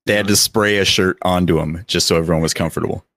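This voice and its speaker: A man's voice: low voice, fairly quick, fairly bright tone, no echo. A man co-hosting a podcast, trading casual, joking banter with friends. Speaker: podcast co-host.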